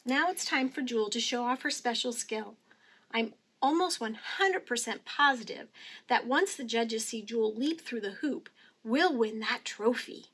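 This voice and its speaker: A woman's voice: fairly high pitch, expressive, normal speed, a bit bright, slightly rough. A woman reading a picture book aloud expressively for young children, with careful, teacherly phrasing. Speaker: storybook reader.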